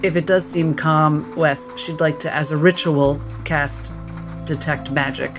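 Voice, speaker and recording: A man takes part in a tabletop roleplaying game as a player, moving between description and in-character speech. His voice sits fairly high, with music clearly behind it. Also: flat delivery, a little slow.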